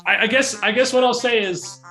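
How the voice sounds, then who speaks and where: A man's voice: extremely high pitch, fairly even intonation, brisk, bright, forceful tone, poor audio. A man co-hosting a casual movie review, talking in a hesitant, rambling way and often correcting himself. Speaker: podcast co-host reviewing a movie. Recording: music behind the voice.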